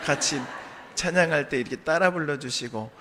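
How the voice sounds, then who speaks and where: A man preaching a sermon as a pastor, his voice a little hoarse from a sore throat, earnestly asking the congregation questions and answering them. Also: fairly high pitch, moderate pace, bright, forceful tone, slight background noise. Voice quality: slightly strained, hoarse voice. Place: church sanctuary.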